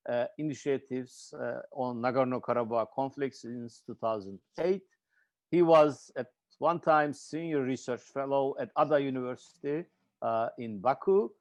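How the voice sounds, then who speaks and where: A man from Turkey with a Turkish accent, moderating an online panel in a halting, hesitant way with frequent repeated words. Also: medium pitch, no room sound. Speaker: webinar host and moderator. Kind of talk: informal spoken introduction of a panel. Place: online video webinar.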